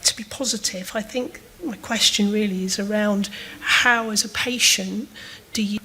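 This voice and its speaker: A woman, asking a panel a halting, hesitant question from the audience. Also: medium pitch, steady tone, pushed and bright, rough recording. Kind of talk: spontaneous question at a panel discussion.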